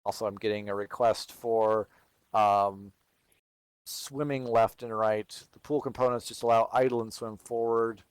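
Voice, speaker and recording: A man's voice: lowish voice, fairly even intonation, unhurried, clean tone, dry recording. A man from Texas with no regional accent, hosting a livestream and answering viewer questions in casual, think-aloud chat.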